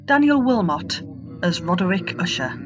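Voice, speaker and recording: A woman's voice: lowish voice, animated, normal speed. A woman reading out scripted credits as an announcer. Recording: dull sound with music behind.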